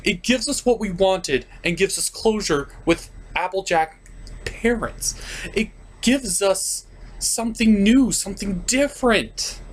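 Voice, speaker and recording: A man voicing an excitable, sentimental puppet who hosts a cartoon review show with emphatic, theatrical delivery. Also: high-pitched, measured pace. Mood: choked up, gushing. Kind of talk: lively semi-scripted review commentary.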